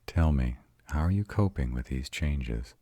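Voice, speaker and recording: Man as a very deep, husky vampire lover. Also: lively intonation, measured pace, mellow, no echo, full character voice. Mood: gentle, reassuring. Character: arrogant male vampire, devoted mate and patient mentor to a newly turned partner. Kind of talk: scripted romantic roleplay audio for a listener.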